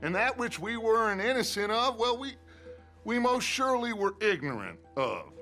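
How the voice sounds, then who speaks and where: An American actor playing a middle-aged, silver-tongued outlaw gang leader in a video game, with theatrical, oratorical delivery. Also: male voice, high voice, very animated, moderate pace, sharp tone, soft background music, exaggerated voice. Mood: indignant. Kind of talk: dramatic video game acting.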